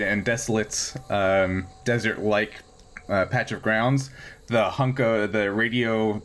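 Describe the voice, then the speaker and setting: A man improvising narration as a tabletop game master, piecing descriptions together haltingly. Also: mid-range voice.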